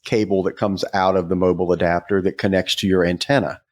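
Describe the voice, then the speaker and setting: Low-pitched man thinking aloud. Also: little pitch movement, quick pace. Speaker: podcast co-host. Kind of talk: casual product discussion.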